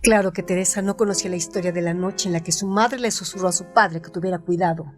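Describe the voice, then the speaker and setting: A woman reading a novel aloud as narrator, in a measured, expressive style. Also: on the low side, faint music behind. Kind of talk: scripted audiobook narration.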